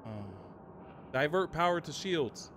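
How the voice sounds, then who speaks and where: A man's voice: fairly high pitch, animated, takes it slowly, a bit bright, a little hiss or hum. A man streaming a game, giving short clipped voice commands and slangy casual asides.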